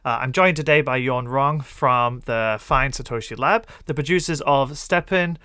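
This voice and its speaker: Male podcast host, northern English accent, chatty and quick to laugh, middle pitch. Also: bright, forceful tone, smooth, no echo, studio quality. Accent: Northern English (Yorkshire). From northern England. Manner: friendly, chatty, laughs easily. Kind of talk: casual interview chat.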